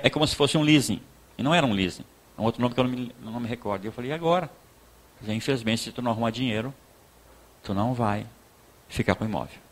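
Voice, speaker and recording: A man with a southern Brazilian accent from Rio Grande do Sul, preaching informally in a conversational, halting storytelling way, repeating words and acting out dialogue. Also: mid-range voice. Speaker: pastor giving a talk. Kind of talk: informal sermon with personal testimony.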